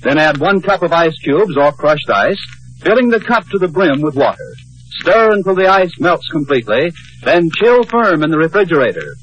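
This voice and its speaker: Animated high-voiced vintage radio announcer. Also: male voice, normal speed. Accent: American. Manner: polished, upbeat sales delivery. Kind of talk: 1940s-50s scripted radio commercial. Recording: dull lo-fi old radio recording.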